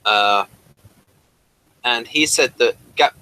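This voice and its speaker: A man in a casual fan discussion, co-hosting and sharing trivia in a halting, rambling way with repeated restarts. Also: medium pitch, pushed and bright, clean tone, dry recording, poor audio. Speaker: podcast co-host.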